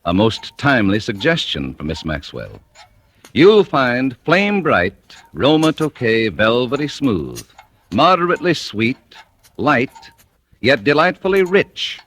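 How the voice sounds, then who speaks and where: Casting: polished male commercial announcer, vintage radio, formal and emphatic, very animated mid-range pitch. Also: measured pace. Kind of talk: 1940s radio advertising read.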